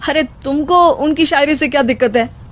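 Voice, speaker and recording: A woman's voice: high-pitched, normal speed, natural voice. A woman voicing an easygoing, breezy young husband. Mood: dismissive, breezy. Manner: breezy, dismissive, casual. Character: easygoing young husband brushing off his wife's complaints.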